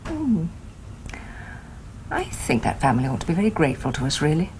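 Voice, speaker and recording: A woman's voice: low voice, huge pitch swings, a little fast, a little mellow, a little hiss or hum, poor audio. An actress playing an anxious middle-aged wife and mother who speaks in a fretful, earnest way, in a British TV sitcom. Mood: musing. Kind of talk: 1980s British sitcom acting.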